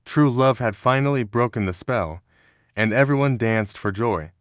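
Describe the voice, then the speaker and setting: A man narrating a scripted children's story in a measured, dramatic storytelling manner. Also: medium pitch, dry recording, studio quality.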